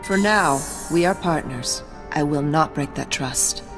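A woman's voice: low-pitched, a little slow. An actress playing a stern, guarded female warrior in a video game, speaking in a terse, solemn, commanding way. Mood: guarded, businesslike. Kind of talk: video game cutscene acting. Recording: long reverb under game music. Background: music.

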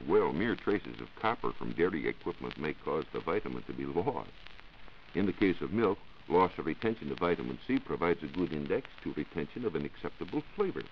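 An American man giving a scripted, measured science talk as a reporter on a 1940s radio show. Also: extremely low pitch, big pitch swings. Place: radio studio. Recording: muffled 1940s radio recording with hiss.

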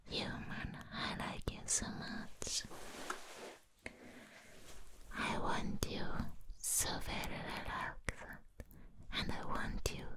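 A woman voicing a sweet, doting vampire girl in an ASMR roleplay, soothing the listener toward sleep in soft, breathy whispers. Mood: tender, hushed. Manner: slow, tender, soothing, repeating words.

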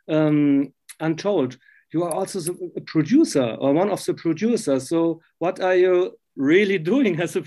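Higher-voiced German-accented male interviewer. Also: darkish tone. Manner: hesitant, halting phrasing. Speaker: interviewer, journalist. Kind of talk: casual interview chat.

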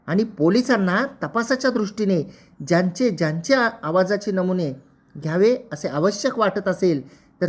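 A presenter explains legal matters in a formal, methodical way, restating points as they go. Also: medium pitch.

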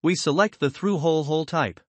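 Man, slightly high pitch, scripted tutorial narration, measured, explanatory, dry close sound. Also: no room sound.

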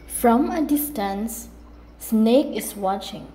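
Slow, fairly high-voiced woman storyteller. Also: animated, dark tone, poor audio. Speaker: storyteller, narrator. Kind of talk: scripted puppet-show narration.

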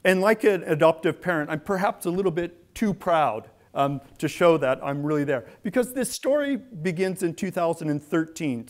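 Middle-aged man, guest speaker, conversational lecture, slightly high voice, large hall. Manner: relaxed, conversational, moves around while speaking. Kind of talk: public lecture. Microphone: lectern mic, then clip-on mic.

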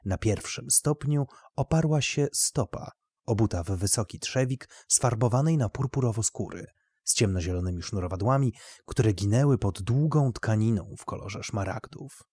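A man's voice: fairly low pitch, warm tone, breathy edge, no echo, clean studio sound. A man narrating literary fiction as a scripted audio story.

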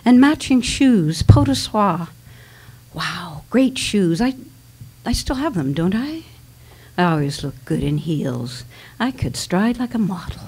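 A woman's voice: mid-range voice, lively intonation, takes it slowly, a little mellow, slightly rough, heavily acted. A woman playing a vain, blunt, ailing mother, theatrical and dismissive, in a staged dramatic reading. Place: small dimly lit stage venue. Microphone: microphone on a stand.

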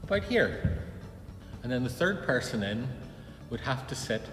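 An older minister talks to his congregation in a calm, reassuring way. His voice rings through a big empty church with a long reverb. Music plays clearly behind him. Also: medium pitch. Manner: measured, gentle and reassuring. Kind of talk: pastoral announcement to congregation. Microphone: phone camera close up, then from a distance. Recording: echoey church hall with background music.

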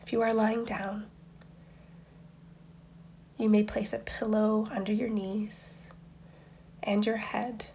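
A woman guiding a meditation in a soft, breathy, near-whispered voice, soothing and unhurried, with long pauses between instructions. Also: medium pitch, level tone, a little hiss or hum. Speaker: meditation guide. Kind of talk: guided meditation.

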